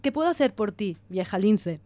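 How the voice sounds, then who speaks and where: A woman's voice: mid-range voice, animated, normal speed, mellow, natural voice. A woman playing a commanding chief diver in a radio drama, brusque and familiar. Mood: chummy.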